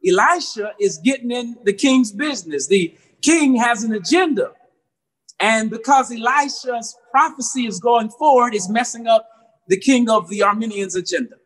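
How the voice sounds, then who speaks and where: An American man preaches in an exhorting, emphatic style. His voice sits at a medium-high pitch for a man, and he repeats key phrases to drive them home. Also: fairly bright tone, rough recording. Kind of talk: sermon. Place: church room with high ceiling, indoors. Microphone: phone propped a short distance away.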